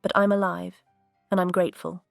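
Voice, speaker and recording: A woman voicing a composed vintage aircraft character, telling her story in a measured, earnest cartoon dialogue style. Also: lowish voice, little pitch movement, creaky, faint music behind. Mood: earnest recounting. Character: composed, bitter but grateful female vintage jet museum exhibit.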